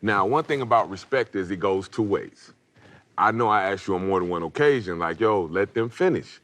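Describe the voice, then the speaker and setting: A man on a reality show reunion, lecturing in a firm, measured way. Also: fairly low pitch, expressive, a bit bright. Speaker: reality show cast member. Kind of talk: arguing at a televised reunion.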